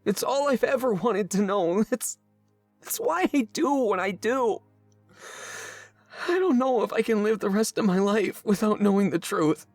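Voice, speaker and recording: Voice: mid-range voice, unhurried, darkish tone, dry recording, faint music behind, natural voice. An actor playing an insecure, abandoned young game companion who longs to belong, speaking hesitantly and earnestly. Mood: anguished, pleading. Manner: hesitant, emotionally open, earnest. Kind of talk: visual novel character voice acting.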